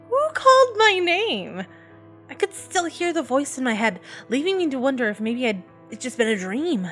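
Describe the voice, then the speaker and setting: A woman narrating a scripted first-person horror story in an expressive, dramatic storytelling manner. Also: a little high. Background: background music.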